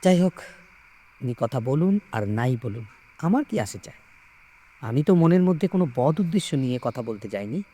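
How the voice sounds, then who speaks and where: A man reading a story aloud in a measured, expressive, suspenseful way with dramatic pauses. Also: medium pitch. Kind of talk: dramatic scripted story narration.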